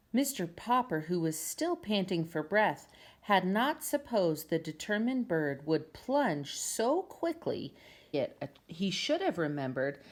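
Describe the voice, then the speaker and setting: Lower-voiced woman reading a storybook aloud. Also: a little mellow. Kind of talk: scripted storybook narration for children. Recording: clear background noise, amateur home video.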